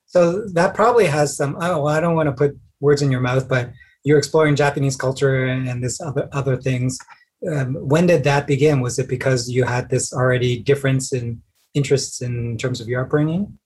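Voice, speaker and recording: A man's voice: mid-range voice, steady tone, fairly quick, darkish tone, breathy edge. A man hosting a podcast, reading the intro and asking rambling questions with restarts. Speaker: podcast host.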